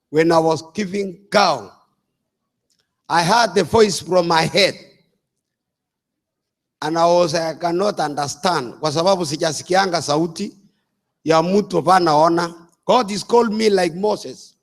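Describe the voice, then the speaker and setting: An older man from Uganda, an apostle, preaching a sermon in slow, deliberate phrases. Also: high voice.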